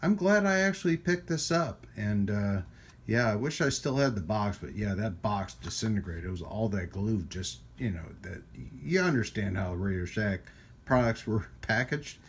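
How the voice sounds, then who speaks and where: A man giving a casual hands-on product demonstration as a channel host, rambling and pausing often as he goes. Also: fairly low pitch, animated. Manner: halting, rambling delivery with frequent pauses. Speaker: host of a radio hobby channel. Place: indoors at a hobby workbench.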